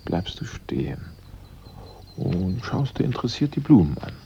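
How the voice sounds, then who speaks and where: A man's voice: low-pitched, big pitch swings, normal speed, creaky, warm tone, exaggerated voice. An actor playing a cool-headed male investigator in a German radio drama, asking questions in a dryly polite way. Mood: casually probing. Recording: dull, noisy older radio recording.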